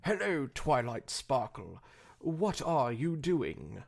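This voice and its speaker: A man voicing a pompous pegasus pony in a stilted, over-proper and drawn-out manner, with a husky, creaky voice. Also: medium pitch, sing-song, pitched above the performer's own voice, heavily acted. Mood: stuffy, formal.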